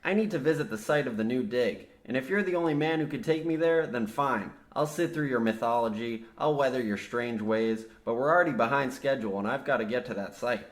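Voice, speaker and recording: A man's voice: mid-range voice, a little fast, hardly any pauses, natural voice. An actor playing a businesslike young mine surveyor, a polite, matter-of-fact straight man in a comedic radio play. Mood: exasperated. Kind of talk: parody of old radio drama acting. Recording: roomy echo, faint noise.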